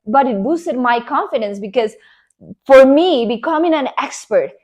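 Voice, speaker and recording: A young Colombian woman with a Colombian Spanish accent, Spanish her first language, speaks to camera as an online career coach. Her voice sits fairly high, and she talks in an earnest, upbeat way, leaning on emphatic promises to push the listener forward. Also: lively intonation, moderate pace, darkish tone. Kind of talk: motivational talk to camera.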